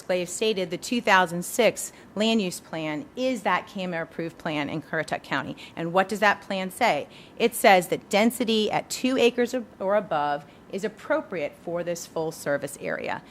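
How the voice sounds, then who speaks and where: A woman's voice: lowish voice, slight background noise. Woman making a formal case to a board. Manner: argumentative, measured, with fillers. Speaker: attorney for the applicant.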